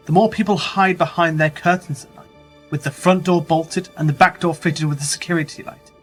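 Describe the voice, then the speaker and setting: A man's voice: a little high, natural voice. An actor playing a wary, sceptical male writer in a radio play, questioning in a dry, challenging way. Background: music.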